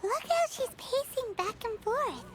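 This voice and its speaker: A woman voicing a small, excitable fairy girl companion in a video game, childlike and expressive, given to exclaiming. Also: extremely high pitch, measured pace, background noise. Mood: puzzled, curious. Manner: exclaiming, speaks of herself in third person.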